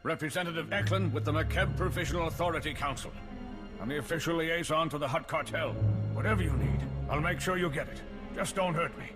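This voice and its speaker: An actor playing an anxious civilian official in a video game, earnest and placating in manner. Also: male voice, on the high side, a little fast, big-room sound, over music, natural voice. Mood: frightened pleading. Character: anxious male civilian official, pleading and pragmatic appeaser. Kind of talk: scripted game acting.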